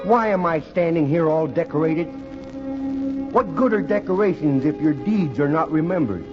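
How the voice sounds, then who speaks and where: A man's voice: fairly high pitch, expressive, moderate pace, lightly acted. A man playing a confused, earnest young Christmas tree in a theatrical, questioning 1950s radio monologue. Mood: confused, wistful. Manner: childlike, theatrical, questioning delivery. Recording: dull old radio recording, music behind.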